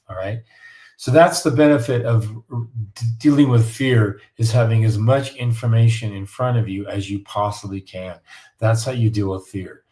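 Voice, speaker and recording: A man with a raspy voice from the flu, hosting a live question-and-answer stream and giving casual, folksy advice. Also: mid-range voice, darkish tone. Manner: folksy, repetitive coaching tone. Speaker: host of live Q&A on home building.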